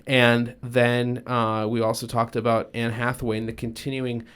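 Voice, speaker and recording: A young man co-hosting a podcast, chatting casually and relaxed with frequent fillers. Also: medium pitch, normal speed, clear, resonant tone. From United States. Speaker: podcast co-host.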